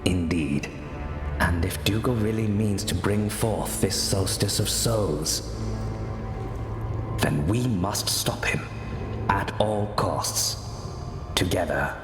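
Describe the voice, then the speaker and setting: Grave spy monk, low voice, formal delivery, game cutscene, cavernous reverb. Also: male voice, over music. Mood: grave, resolute. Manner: formal, deliberate, solemn. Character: grave, measured male spy and observer, former monk. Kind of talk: video game cutscene acting.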